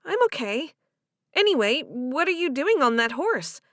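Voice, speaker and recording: A woman narrator acts out a young female character in an audiobook romance. The voice sits high and swings widely, shy at one moment and indignant at the next. It is expressive and full of questions, recorded close and dry. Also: a little slow, no echo, full character voice. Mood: guarded.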